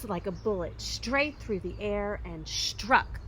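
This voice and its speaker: A woman reading a children's story aloud expressively, with dramatic emphasis, pauses and occasional hushed phrasing. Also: medium pitch, expressive, a little hiss or hum, low-quality recording. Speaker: storybook reader.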